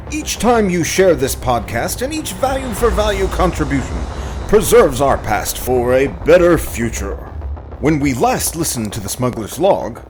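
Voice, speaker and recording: A man hosting and narrating a podcast in a scripted, theatrical announcer style, showy and emphatic. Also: medium pitch.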